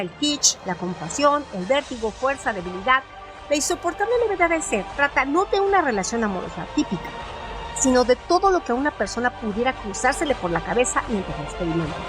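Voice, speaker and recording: Expressive woman narrator with a high voice. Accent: Spanish. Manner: measured, expressive reading. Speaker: narrator and host. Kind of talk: literary narration and critical analysis.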